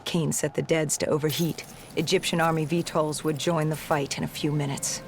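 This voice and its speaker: A woman's voice: sits low, level tone, normal speed, slight background noise. A woman playing a defiant, battle-weary soldier in a video game, grimly and bluntly narrating a mission. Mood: grim recounting. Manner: blunt, bitter, grim.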